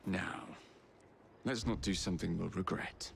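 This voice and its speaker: An actor playing a charming, roguish young Victorian gentleman in a video game, suave and courteous with an English accent. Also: sits low, unhurried, vocal fry, heavily acted. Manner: suave, courteous, lightly teasing. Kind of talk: video game cutscene acting. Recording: loud street noise, horse hooves.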